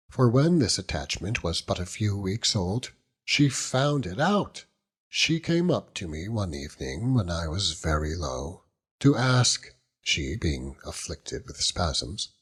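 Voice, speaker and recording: A male audiobook narrator with a husky voice. He reads literary prose expressively at a measured pace, his pitch moving widely through each sentence.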